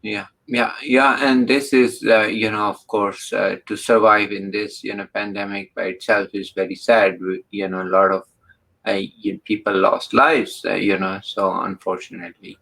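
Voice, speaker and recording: Gravelly low-voiced halting male host. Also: level tone, fairly bright tone, low-quality recording. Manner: halting, heavy on fillers. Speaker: interview host. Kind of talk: casual interview chat.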